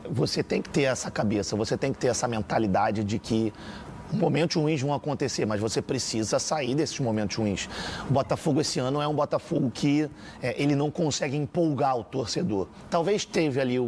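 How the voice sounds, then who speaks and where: A man's voice: medium pitch, darkish tone, a little hiss or hum. A man with a Brazilian Portuguese accent, a sports panelist arguing his opinions in a casual football debate. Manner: opinionated, builds arguments with repeated phrases.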